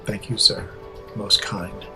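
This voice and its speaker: A man playing a stoic Vulcan starship captain in an audio drama, speaking in a measured, formal, precise way. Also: fairly low pitch, steady tone, gravelly, music in the background. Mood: courteous. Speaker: voice actor.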